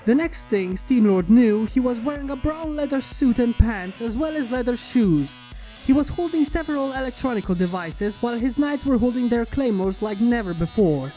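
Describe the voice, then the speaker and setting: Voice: mid-range voice, expressive, moderate pace, warm tone, faint music behind, poor audio. A narrator reading a fan fiction story aloud in a scripted storytelling style.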